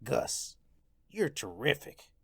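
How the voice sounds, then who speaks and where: A woman playing an excitable, greedy, gullible male gambler in a radio play, animated and slangy. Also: very deep voice, slow, creaky, husky, no echo, clean studio sound, full character voice. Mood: giddy, delighted.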